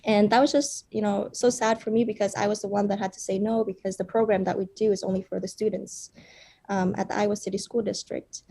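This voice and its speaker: A young woman from Vietnam, a startup founder, gives an earnest pitch with frequent fillers. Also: level tone, brisk, gravelly, mellow, slightly rough. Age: young adult.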